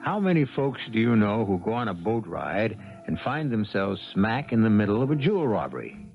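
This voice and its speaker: A man's voice: fairly low pitch, very animated, moderate pace, gravelly, soft background music. A man hosting a 1970s radio mystery series with dramatic, wry narration. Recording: muffled vintage radio broadcast.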